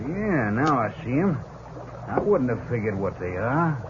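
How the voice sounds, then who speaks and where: A man's voice: medium pitch, sing-song, measured pace. A man playing a puzzled, doubtful posse rider in a 1950s western radio drama. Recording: dull, noisy old radio recording.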